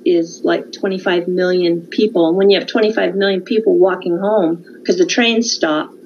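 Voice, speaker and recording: Woman, mid pitch, measured and informative guest, lo-fi call audio with faint hiss. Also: steady tone, darkish tone. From California, United States. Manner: measured, informative, with frequent um and uh pauses. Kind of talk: conversational interview.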